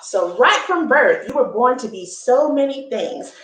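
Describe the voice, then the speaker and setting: Lady doing kids storytime, really animated voice, kinda high, explains stuff simply, echoey room. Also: a little slow. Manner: expressive, encouraging, explaining things simply to young listeners. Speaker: storytime host reading a picture book aloud. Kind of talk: children's read-aloud with chatty asides.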